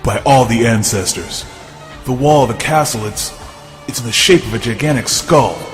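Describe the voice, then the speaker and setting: A man's voice: mid-range voice, moderate pace, natural voice. A man playing a proud, warlike barbarian king hungry for power, in a fantasy audio drama with grand, solemn delivery. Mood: awed. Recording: long cavernous reverb, music behind.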